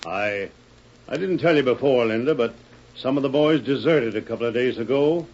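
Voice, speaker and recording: An actor playing a worried, suspicious ranch boss with folksy cowboy speech in an old-time radio Western. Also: male voice, mid-range voice, moderate pace, a little mellow, slight background noise, natural voice. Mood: uneasy. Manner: folksy cowboy drawl with rustic grammar. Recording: muffled vintage radio recording.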